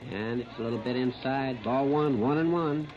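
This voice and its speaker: A man's voice: medium pitch, normal speed. An American man calling baseball play-by-play on 1930s radio, plain-spoken and folksy, in short phrases. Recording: muffled, noisy 1930s radio disc recording.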